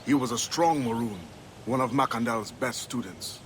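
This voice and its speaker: An actor playing a grave, dignified older seafaring assassin captain, speaking in a solemn, measured way in a game cutscene. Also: male voice, medium pitch, a little hiss or hum, natural voice. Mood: grave, mournful.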